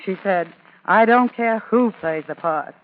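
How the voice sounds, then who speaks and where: A man's voice: sits high, a little slow. A man delivering showbiz gossip in the chatty patter of 1940s radio. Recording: tinny, noisy 1940s radio recording.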